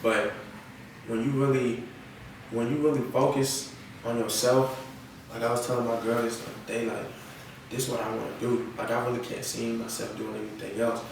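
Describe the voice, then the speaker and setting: A man's voice: medium pitch, level tone, moderate pace. A young man talking casually and slangily in a podcast interview. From North Carolina, United States. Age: young adult. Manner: slangy, often checks with "you feel me". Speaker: rapper being interviewed. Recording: echoey room, distant mic, noisy.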